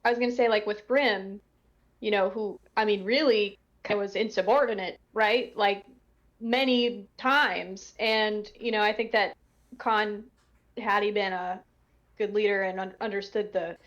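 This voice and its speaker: A woman's voice: medium pitch, fairly even intonation, measured pace, fairly bright tone. A woman giving casual panel commentary in a hesitant, tentative way, often trailing off into asides. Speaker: audio drama cast or crew member giving commentary.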